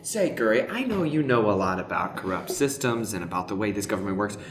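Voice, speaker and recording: Guy playing an excitable wizard, flippant, voice jumps all over, echoey room w some noise. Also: on the low side, brisk, close to an everyday voice. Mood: wry, casual. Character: excitable wizard John, flippant and easily flustered. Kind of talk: improvised comedic radio play. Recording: roomy echo with faint background noise.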